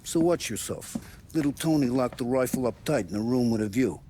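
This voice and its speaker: An actor playing a streetwise, clipped, businesslike American gangster who supplies guns in a game. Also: male voice, mid-range voice, lively intonation, fairly quick, dark tone, slight background noise, close to an everyday voice. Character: streetwise mob gun supplier, matter-of-fact. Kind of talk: dramatic game cutscene acting.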